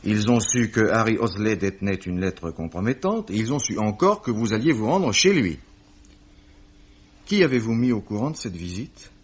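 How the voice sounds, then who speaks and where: An actor playing a grave, world-weary police commissioner in a measured, ominous manner, in the style of a 1950s French radio mystery. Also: male voice, on the low side, very animated, husky. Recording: muffled vintage radio recording, faint noise.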